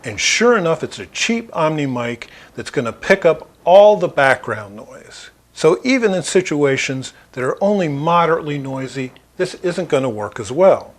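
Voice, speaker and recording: A man narrating a product review as an audio installer, explaining clearly and at a measured pace. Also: fairly high pitch, sing-song.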